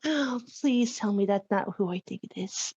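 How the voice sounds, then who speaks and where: A woman's voice: mid-range voice, moderate pace, darkish tone, breathy edge. A woman playing a tabletop game, chatting casually, exclaiming and muttering to herself over a lo-fi microphone.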